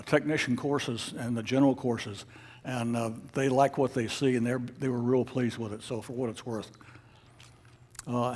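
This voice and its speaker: A man leading a club meeting, giving informal announcements in a halting, unscripted way. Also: mid-range voice, a little mellow. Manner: halting, hesitant, unscripted delivery. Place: club meeting room with an audience.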